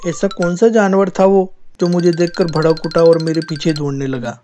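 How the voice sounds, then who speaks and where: A woman puts on a timid, overworked donkey from a cartoon fable, his voice dropped low and loud. He grumbles plaintively over clear background music. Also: fairly even intonation, moderate pace, close to an everyday voice. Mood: shaken, reproachful. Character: skinny overworked male village donkey, timid and complaining. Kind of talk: animated animal fable dialogue. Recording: music behind the voice.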